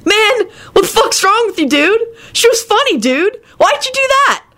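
A woman's voice: very high-pitched, big pitch swings, moderate pace, sharp tone, voice pushed up, heavily acted. A woman voicing a rude, whiny young man who is stoned, shrieking and drawling. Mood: shrill, outraged.

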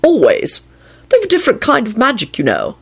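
A woman voicing an unruffled, cheerfully stern gentleman with a calm manner in a story reading. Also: on the high side, a little fast, creaky, slightly rough, pitched above the performer's own voice, exaggerated voice. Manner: calm, British turns of phrase.

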